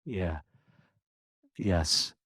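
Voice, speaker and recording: A man's voice: low-pitched, drawn-out words, a bit bright, breathy edge, no echo, studio quality. A man guesting on a podcast, talking casually and animatedly, laughing often, with a powerful voice that projects. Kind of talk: casual interview conversation.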